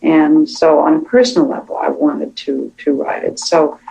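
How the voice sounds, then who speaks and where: A woman speaks as a writer being interviewed, with audible fry in her voice. Her delivery is thoughtful and measured, laying out her reasoning in a reflective way. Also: deep voice, little pitch movement, mellow, low-quality recording.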